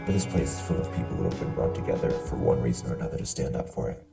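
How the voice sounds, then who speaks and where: A man voicing a grave, curious, freedom-loving traveler in an amateur animated series. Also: very deep voice, very fast, vocal fry, exaggerated voice. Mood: thoughtful. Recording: echoey, distant mic under music.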